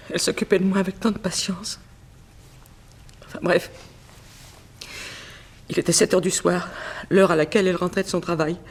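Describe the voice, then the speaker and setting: A woman's voice: lowish voice, darkish tone. An actress playing a grieving middle-aged mother, a former seamstress, in a plaintive, dramatic 1960s French radio mystery style. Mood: sorrowful.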